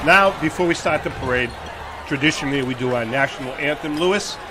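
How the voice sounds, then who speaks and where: A man's voice: fairly high pitch, a little fast. A man hosting a comic live radio broadcast of a parade, calling out what goes by. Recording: loud music and crowd behind. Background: parade music, crowd noise.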